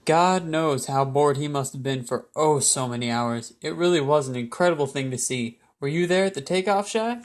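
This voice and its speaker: A man's voice: on the high side, moderate pace, few pauses, lightly acted. A young man playing an upbeat 1920s radio news host, with showy announcer phrasing and period slang. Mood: cheery, showy. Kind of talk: scripted 1920s radio news show acting.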